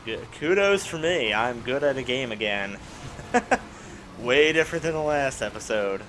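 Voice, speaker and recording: A man who is sick with a raspy voice, playing a car combat game and rambling through casual commentary with lots of fillers. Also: mid-range voice, normal speed, a little hiss or hum. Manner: rambling, excitable, many fillers. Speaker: let's play gamer. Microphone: desk microphone at home.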